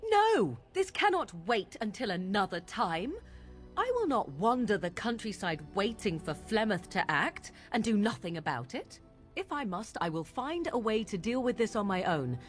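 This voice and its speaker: A woman's voice: medium pitch, very animated, normal speed, faint music behind, close to an everyday voice. An actress playing a proud, imperious young witch in dramatic video game dialogue, with formal, precise diction. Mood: urgent, indignant, then firm, resolved. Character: proud, imperious young witch fearing her murderous mother.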